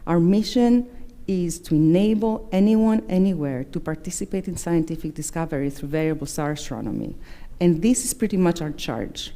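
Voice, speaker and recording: A middle-aged woman from Greece, whose first language is Greek, giving a measured presentation to members with frequent fillers and self-corrections. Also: on the low side, mellow. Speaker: executive director presenting annual report.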